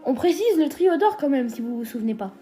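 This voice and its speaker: High-pitched girl joking around, lots of euh, faint music under it. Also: big pitch swings, fast talker, dark tone. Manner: playful, teasing, hesitant fillers. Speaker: co-host of a ranking video. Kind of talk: casual scripted chat.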